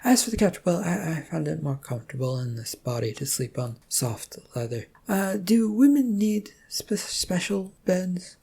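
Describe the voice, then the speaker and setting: A narrator voicing a scatterbrained, easily excited character who was magically turned female and talks in a muddled, eager way. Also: medium pitch, normal speed, vocal fry, hardly any pauses, breathy edge, voice pushed up, heavily acted. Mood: sheepish.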